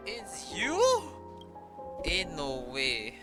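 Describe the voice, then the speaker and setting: Very animated game streamer over loud music. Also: medium pitch, extremely slow. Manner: joking, reactive, swears freely. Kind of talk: casual live playthrough commentary.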